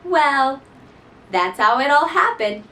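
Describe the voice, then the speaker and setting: A woman reading a rhyming children's story aloud in an expressive, theatrical style. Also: high voice, slow pace. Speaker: storybook reader. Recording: voice somewhat distant from mic.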